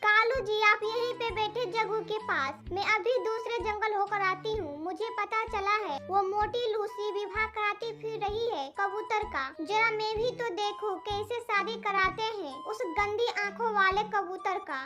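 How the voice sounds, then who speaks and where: A very high, childlike female crow from a children's cartoon, voiced by an actor. She is spiteful and scheming, always gossiping. Music plays clearly behind the voice. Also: steady tone, a little slow, few pauses. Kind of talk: animated children's story dialogue.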